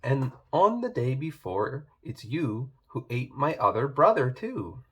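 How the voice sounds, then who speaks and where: A man's voice: medium pitch, sing-song, measured pace, fairly bright tone, breathy edge, heavily acted. A man voicing a small, brave little pig who scolds firmly and bossily in a children's storybook. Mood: scolding. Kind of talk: children's storybook read-aloud.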